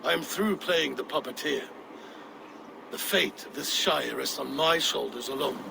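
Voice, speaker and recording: An actor playing an aging, proud and suspicious Viking warlord in a video game, with a gravelly voice. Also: male voice, fairly high pitch, measured pace, noisy background. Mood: grim resolve. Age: older.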